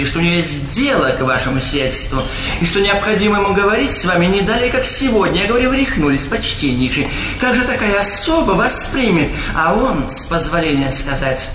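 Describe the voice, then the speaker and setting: An obsequious, fussy steward in a period stage comedy. He talks in wordy, servile phrases with theatrical deference. The recording is clearly noisy, with long hall reverb. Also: sits high. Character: obsequious, fussy male steward to a noble household.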